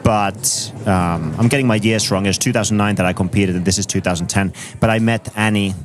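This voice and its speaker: A man in his thirties from Finland with a Finnish accent, a coach speaking as a guest in an interview and explaining his ideas in a measured, thoughtful way. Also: on the low side, steady tone, music in the background. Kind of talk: conversational interview.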